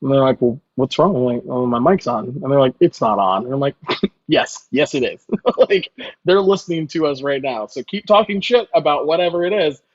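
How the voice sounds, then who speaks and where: The audio is a little dull and lo-fi. A man with a mid-range voice talks casually as a chef guest in an interview. He tells stories in an informal way, often acting out quick bits of dialogue. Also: medium pitch.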